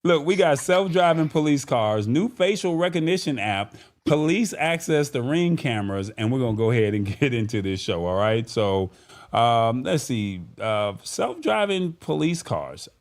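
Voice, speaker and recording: A man with a medium-pitched voice hosts a casual live talk show. He speaks informally and conversationally, addressing the audience directly as he goes. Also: expressive, moderate pace. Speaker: show host. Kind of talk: casual live-stream talk show, reading viewer comments.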